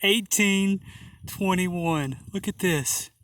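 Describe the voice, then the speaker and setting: A man narrating a metal detecting hunt outdoors in a field, hoarse and awed, talking slowly with many exclamations. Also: high voice, a bit bright, no echo. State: hoarse, losing his voice. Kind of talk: casual outdoor narration to camera.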